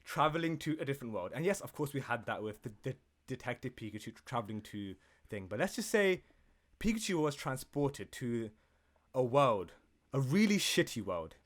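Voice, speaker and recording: A man's voice: medium pitch, sing-song, moderate pace. A man co-hosting a gaming podcast, casual, jokey and sweary, improvising and bantering.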